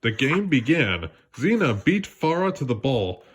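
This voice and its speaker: A man narrating a children's storybook aloud in an expressive way, occasionally stumbling on a word. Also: medium pitch, a little slow, slight background noise. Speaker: storybook reader.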